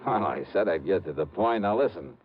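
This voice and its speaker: Tough private eye, 1950s radio drama, animated delivery, lo-fi sound, music behind. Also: male voice, deep voice, fairly quick. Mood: bluffing. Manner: wry, tough, narrating in first person. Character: hardboiled male insurance investigator. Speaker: lead and narrator. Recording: dull old radio broadcast with music.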